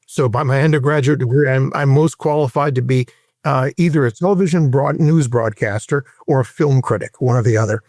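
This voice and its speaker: A man in his sixties from Hawaii with an American accent, recounting his career in a measured, explanatory way as an interview guest. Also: medium pitch, darkish tone, no room sound, clean studio sound. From Hawaii, United States. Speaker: interview guest, private equity veteran. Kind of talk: conversational career recollection in an interview.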